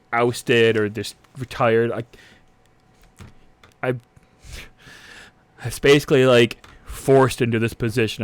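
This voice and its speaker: A man's voice: mid-range voice, dry recording, natural voice. A man playing a nervous, unsure new militia captain in a tabletop game, stammering and hesitant. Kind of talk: improvised in-character roleplay.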